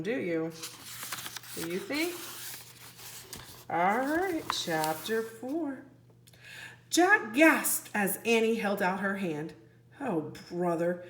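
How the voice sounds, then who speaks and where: A woman's voice: mid-range voice, roomy sound, slight background noise. A woman teacher reading a children's storybook aloud expressively, putting questions to young listeners. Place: indoor room, book held on camera.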